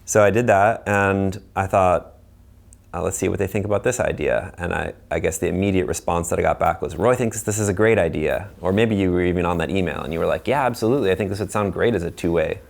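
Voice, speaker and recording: Very deep, creaky-voiced man. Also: quick pace. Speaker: loudspeaker designer. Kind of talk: casual technical conversation.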